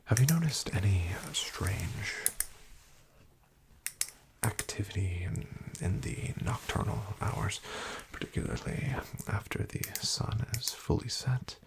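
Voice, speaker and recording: A man whispering an ASMR roleplay as a house-call doctor, hushed and wryly deadpan with soft fillers. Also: lowish voice, slow, vocal fry, background noise.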